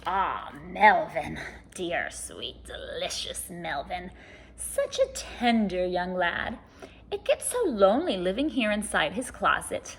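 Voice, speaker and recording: A woman voicing a big, ugly, lonely monster who speaks with slow, savoring, hungry tenderness in a storybook reading. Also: on the low side, very animated, gravelly, a little hiss or hum, full character voice, poor audio. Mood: hungry, tender.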